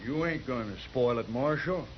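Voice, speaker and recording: An American actor playing a defiant, taunting mob ringleader in a 1950s radio Western, with folksy, cocky talk. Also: male voice, mid-range voice, normal speed, natural voice. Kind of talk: 1950s radio drama acting. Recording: dull, faintly noisy vintage radio recording.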